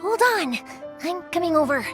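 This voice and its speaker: A very high, lively young woman in a video game, a cheerful fireworks maker and loving daughter. She talks expressively and emphatically, her pitch moving a lot, with music clearly playing behind her. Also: moderate pace. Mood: startled, cautious. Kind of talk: animated video game voice acting.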